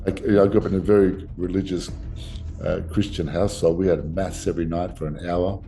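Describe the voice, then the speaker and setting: A man's voice: low-pitched, level tone, fairly quick, dark tone, soft background music, rough recording. A man in his sixties with an Australian accent, talking bluntly and with many restarts in a casual interview. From Melbourne, Australia. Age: 60s. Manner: blunt, rambling, restarts often.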